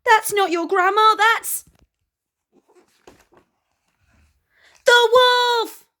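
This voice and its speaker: A woman's voice: very high-pitched, fairly even intonation, a little fast, voice pushed up. A woman voicing an earnest, kind young girl in a storybook, with an urgent, pleading way of talking. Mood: alarmed.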